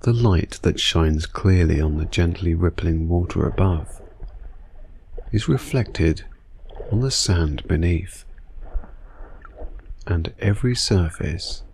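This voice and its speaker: A man with a very low, dark and mellow voice narrates a guided sleep meditation. He speaks very slowly and soothingly, leaving long gaps between phrases, with some ambient noise behind him. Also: creaky. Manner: soothing, unhurried, hushed delivery with long pauses.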